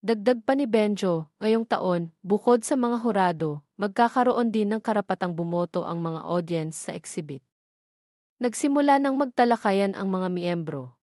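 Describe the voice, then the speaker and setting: A woman's voice: mid-range voice, a little mellow, no room sound. Filipino woman narrating, close and dry. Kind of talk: scripted audio drama story narration.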